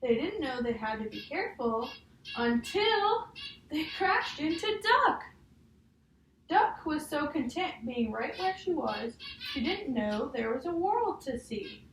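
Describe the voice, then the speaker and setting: A woman's voice: high-pitched, big pitch swings, takes it slowly. A young woman reading a picture book aloud to a child, in an encouraging way with questions to check in. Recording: echoey room, distant mic, faint noise.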